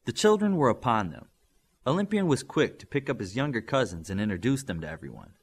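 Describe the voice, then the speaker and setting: Lively male audiobook narrator, slightly husky. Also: mid-range voice, fairly quick. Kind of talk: scripted fiction narration with character dialogue.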